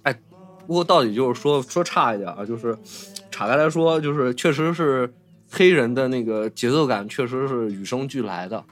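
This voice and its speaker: A man's voice: mid-range voice, normal speed. A man, talking casually and enthusiastically about film as a podcast host, often repeating himself.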